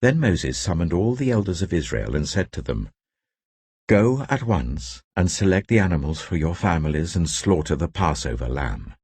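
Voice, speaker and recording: A man giving a scripted, solemn and deliberate reading of scripture as a narrator, with emphatic phrasing. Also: sits low, very animated, darkish tone, breathy edge, no echo. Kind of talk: scripted audio Bible reading.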